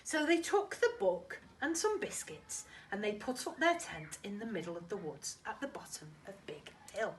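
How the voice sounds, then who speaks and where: Female storyteller for young children: lively, swooping delivery, dramatic, recorded a little far from the mic with faint noise. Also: mid-range voice, normal speed, hardly any pauses. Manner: animated, dramatic, addresses young listeners with questions. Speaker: primary school teacher reading to children. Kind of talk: expressive children's storybook reading.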